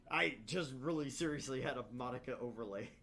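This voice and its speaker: A man's voice: mid-range voice, normal speed, bright, forceful tone. A man reading visual novel narration aloud as a gaming host, with casual asides.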